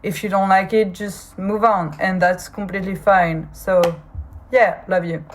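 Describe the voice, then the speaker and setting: Woman, French accent, lowish pitch, hesitant, earnest podcast host, frequent restarts. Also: slight background noise. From France. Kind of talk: casual solo podcast monologue.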